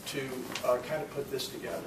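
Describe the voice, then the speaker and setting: Mid-pitched man in an echoey, noisy room. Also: little pitch movement, fast talker. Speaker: club member organizing a script performance. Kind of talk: informal explanation to a group. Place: meeting room, recorded from a distance. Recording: echoey room, distant mic, clear noise.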